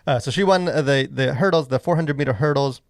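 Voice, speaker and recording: A man talking as a podcast co-host in a casual, halting, rambling way full of verbal fillers. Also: medium pitch. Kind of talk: casual conversational podcast discussion.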